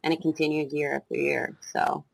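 Woman in her 20s, South Jersey origin, light Jersey accent, low voice, hesitant and casual, dry close recording. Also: flat delivery, a little fast, gravelly, slightly rough, no echo, poor audio. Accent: New Jersey. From South Jersey, USA. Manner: hesitant, many ums and restarts. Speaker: guest lacrosse coach. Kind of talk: casual interview chat.

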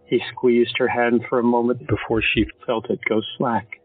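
A man narrating an audio drama in a steady, measured storytelling style. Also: mid-range voice, husky, dry recording. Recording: tinny phone-like audio, faint music.

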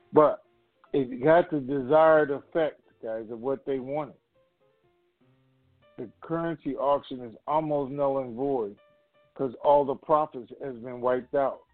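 A man speaks through a narrow, muffled phone connection with very little room sound. His pitch sits in the middle of the male range. He rambles casually and colloquially, as the host of a group conference call. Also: dry recording.

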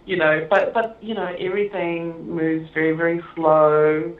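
Woman director, interview guest, chatty and giggly, lowish voice, remote call line. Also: flat delivery. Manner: chatty and halting, many fillers and laughs. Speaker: film director being interviewed. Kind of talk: casual interview conversation. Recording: echoey, tinny phone-like line.

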